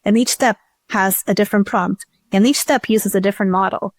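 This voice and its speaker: A woman's voice: medium pitch, steady tone, brisk, no room sound. A woman giving casual, chatty interview answers as a startup chief executive, often restarting sentences and leaning on filler words. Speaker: startup CEO, interview guest.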